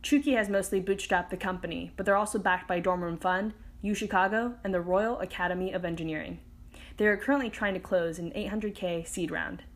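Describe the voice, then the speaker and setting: A woman's voice: mid-range voice, fairly even intonation, fairly quick. Upbeat young woman hosting a podcast. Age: 20s. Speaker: podcast host. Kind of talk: scripted podcast intro and interview.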